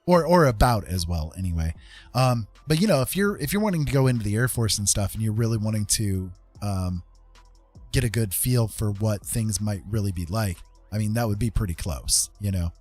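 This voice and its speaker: Man, lowish pitch, live stream host, casual rambling chat, hesitant pauses, repeated phrases, soft background music. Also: animated. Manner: hesitant, pausing mid-thought, repeating phrases.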